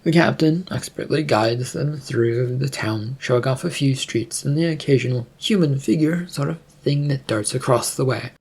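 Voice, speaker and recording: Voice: medium pitch, moderate pace, few pauses, darkish tone, slightly rough. A storyteller reading scripted fiction narration aloud.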